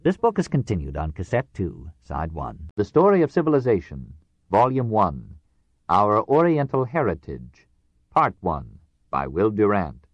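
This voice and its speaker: A man narrating a nonfiction audiobook in a measured, formal way with precise phrasing. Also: lowish voice, wildly swooping pitch, no room sound, highs cut off.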